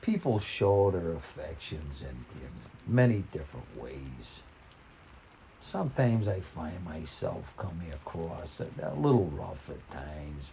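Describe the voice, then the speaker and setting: An older man reading a personal story in a folksy, plain-spoken, conversational way, with halting, reflective pauses. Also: low-pitched, creaky, mellow, a little hiss or hum, low-quality recording.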